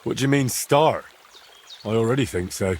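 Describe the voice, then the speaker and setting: A man's voice: medium pitch, moderate pace, a little mellow, a little hiss or hum, lightly acted. An actor playing a curious, plain-spoken young man in a medieval video game, frank and good-natured. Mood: wary, teasing. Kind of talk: dramatic dialogue in a cinematic historical game.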